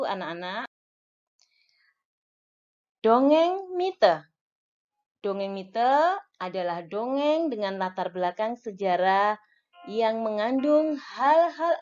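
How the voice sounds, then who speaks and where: A woman with a fairly high voice teaches young pupils, speaking slowly and spelling out each point in turn. The recording is dull and lo-fi, with faint music underneath. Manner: slow, clear teacherly explaining with list-like enumeration. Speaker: elementary school teacher. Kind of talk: recorded classroom lesson for young children.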